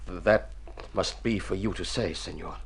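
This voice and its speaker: A man's voice: low-pitched, measured pace. A man playing an uneasy young Texan cowboy in a radio drama, plain-spoken and hesitant. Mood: guarded, subdued. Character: uneasy young Texan cowpuncher posing as heir. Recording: noisy old radio drama recording.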